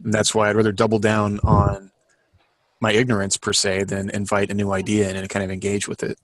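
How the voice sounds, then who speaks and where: A man with a fairly low voice, recorded close and dry, chats as a podcast panelist. He feels his way through ideas, restating them in a thoughtful, tentative fashion. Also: completely flat delivery, a little fast, no echo, rough recording. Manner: reflective, tentative, rephrases his thoughts as he goes. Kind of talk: casual group discussion.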